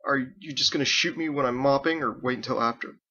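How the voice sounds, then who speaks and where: A man's voice: medium pitch, fairly quick, sharp tone, dull audio, pitched above the performer's own voice. A man voicing a frightened, guilty young deckhand with an anxious, pleading manner in a story reading. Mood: bitter.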